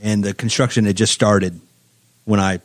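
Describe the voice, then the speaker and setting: Podcast guest, Indiana man, measured and steady, lower voice, dry close sound. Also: dry recording. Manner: measured, storytelling, many "you know" fillers. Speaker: guest, economic development executive. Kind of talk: casual podcast interview.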